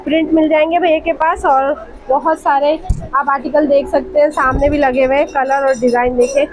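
A woman's voice: high-pitched, fairly even intonation, normal speed, a crowd in the background, poor audio. A woman hosting a casual shopping vlog, enthusiastically praising and repeating what the seller says. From Pakistan. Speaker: vlog host. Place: indoor fabric shop. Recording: clear background shop noise.

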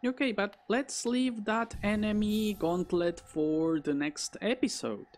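A woman's voice: medium pitch, unhurried, a little mellow. A woman hosting a gaming video, giving commentary and reading dialogue aloud.

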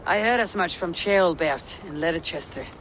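A man acting a commanding young Viking warrior for a video game. The voice sits high for a male, curt and firm, with faint outdoor noise behind it. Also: normal speed, a bit bright, natural voice, low-quality recording. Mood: resolute.

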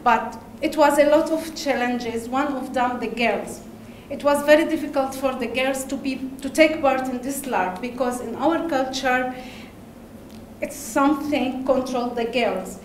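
A woman from Lebanon with an Arabic accent, giving a conference talk in halting English with hesitations and laughs. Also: high voice, a little hiss or hum. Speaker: conference speaker. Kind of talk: presentation talk. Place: large darkened conference hall. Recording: echoey hall, faint ambience.

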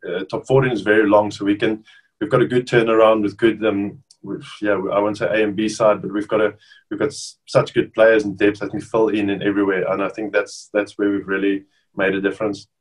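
A South African man in his thirties, whose first language is Afrikaans, chatting casually over a video call as an interview guest with relaxed restarts. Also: fairly low pitch, brisk, poor audio. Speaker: rugby player guest. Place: bedroom at home, indoors.

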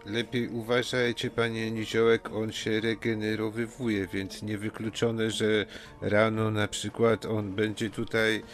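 Man playing a carefree low-voiced foreign street brawler. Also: little pitch movement, natural voice. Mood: cocky, warning. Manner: deliberately broken grammar, Spanish interjections. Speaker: tabletop RPG player. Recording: music behind the voice.

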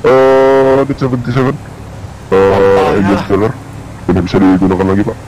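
A man with an Indonesian accent making halting radio reports in online game roleplay. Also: lowish voice, steady tone, darkish tone, faint music behind, low-quality recording. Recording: faint game ambience noise.

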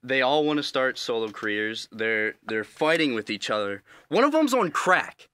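A man's voice: mid-range voice, very animated, moderate pace, pushed and bright, slight background noise. A man playing an exasperated band manager in a comedy sketch, repeating himself.

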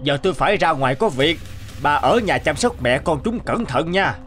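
An actor voicing a stern, secretive husband in a cartoon fairy tale, commanding and insistent. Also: male voice, fairly high pitch, animated, normal speed, a bit bright, a little hiss or hum. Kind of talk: animated fairy-tale dubbing. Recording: background music under the voice.

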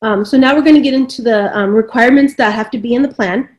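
A woman's voice: a little high, quick pace, darkish tone, muffled recording. A woman in her thirties on a video call, reading requirements aloud and commenting on them. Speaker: consultant co-host. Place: indoor kitchen at home. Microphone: video call computer microphone.

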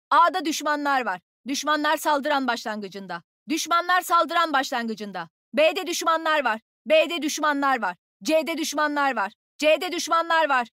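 A confident young woman fighter from a shooter game. Her voice is high and swings in lively leaps, teasing and full of energy. The sound is dry and close, with no room around it. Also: dry recording, full character voice. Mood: brisk, urgent. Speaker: video game voice actor. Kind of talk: game character voice lines, short combat callouts.

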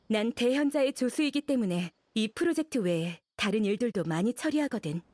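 A female scholar's assistant in a video game. Her voice is fairly high, and she speaks in a guarded, deliberate, matter-of-fact way. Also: a little mellow. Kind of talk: game cutscene dialogue.

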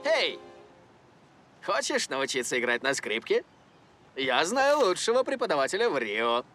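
An actor voicing a cheerful, easygoing young footballer in a dubbed cartoon. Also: male voice, fairly high pitch, huge pitch swings, measured pace, fairly bright tone, soft background music, full character voice. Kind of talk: cartoon dubbing.

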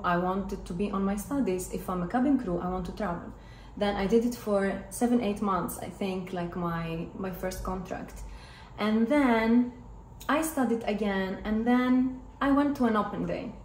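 A woman's voice: mid-range voice, normal speed, a little mellow. Young woman, Greek accent, native Greek speaker, casual storytime vlog, roomy echo, noisy background. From Greece. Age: 20s. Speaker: vlogger and former flight attendant. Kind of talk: casual personal storytime to camera. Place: hard-floored living and dining room at home.

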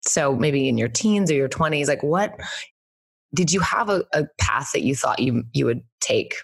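A woman's voice: low voice, expressive, moderate pace, gravelly, slightly rough. A woman in her thirties hosting a podcast, asking questions casually with lots of fillers, speaking into a desk mic at home. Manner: casual, affirming, many fillers. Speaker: podcast host. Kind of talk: interview questions. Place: bright home room, indoors. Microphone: desk condenser mic with headphones.

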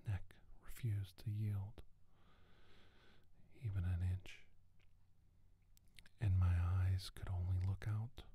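A man playing a haunted doctor giving testimony of his abduction in a halting, deliberate ASMR whisper. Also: low-pitched, little pitch movement, noisy background. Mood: anguished, dread. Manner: slow, halting, deliberate, with pauses and repeated words. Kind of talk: whispered ASMR roleplay monologue.

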